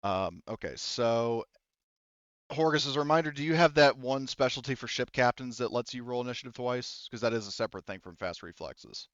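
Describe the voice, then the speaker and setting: A man's voice: medium pitch, fairly quick, fairly bright tone, smooth, no echo, highs cut off. A man working as game master, narrating a tabletop game casually and informally, often thinking aloud.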